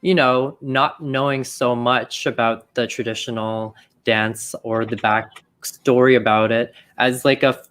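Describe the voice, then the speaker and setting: A young man from Canada speaks on a video call in an easy, middle-pitched voice. He talks slowly, pausing and hedging with fillers as he thinks aloud. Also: fairly even intonation, fairly bright tone, clean tone. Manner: reflective, hesitant, heavy on "you know" and "like". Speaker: dancer. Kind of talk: casual group chat.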